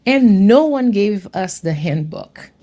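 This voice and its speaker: A woman from Haiti giving an earnest, measured personal testimony. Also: mid-range voice, animated, mellow.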